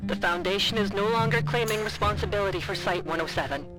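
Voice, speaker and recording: A woman playing a friendly but nervous, evasive radio contact in an audio drama, talking in a casual, halting way. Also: mid-range voice, music in the background, close to an everyday voice, low-quality recording. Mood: guilty, urgent. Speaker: voice actor. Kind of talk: naturalistic audio drama acting.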